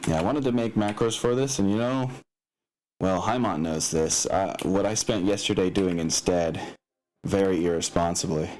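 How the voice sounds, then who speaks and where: A man's voice: low-pitched, moderate pace, a little mellow, slight background noise. A man acting as game master, talking casually through rules and narration as he thinks aloud in a rambling way.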